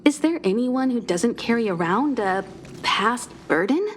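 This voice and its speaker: A woman's voice: a little high, unhurried, a little hiss or hum. Composed, caring mentor woman. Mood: reassuring, reflective. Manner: calm, reassuring, reflective. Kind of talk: dramatic video game voice acting.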